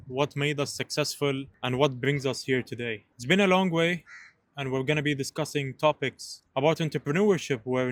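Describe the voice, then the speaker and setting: A man's voice: medium pitch, a bit bright, no echo. A nineteen-year-old man from Dubai in a casual podcast conversation, talking informally and leaning on "you know" and "basically". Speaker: podcast host and entrepreneur telling his story.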